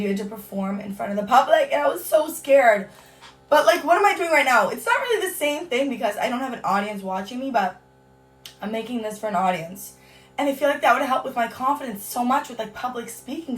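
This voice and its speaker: A young woman from Canada chatting in a casual home vlog, bubbly and full of "like" and "you guys", her voice hoarse from a cold. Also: on the high side, recorded from a distance. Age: young adult. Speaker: beauty vlogger. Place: at home on a hot day.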